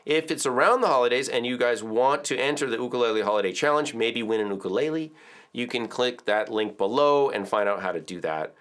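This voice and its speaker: A middle-aged man giving a relaxed ukulele lesson to camera in an indoor studio, chatty and full of filler words. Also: mid-range voice, a little fast. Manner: chatty, explains with filler words and counts aloud. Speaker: ukulele teacher. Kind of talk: relaxed video tutorial.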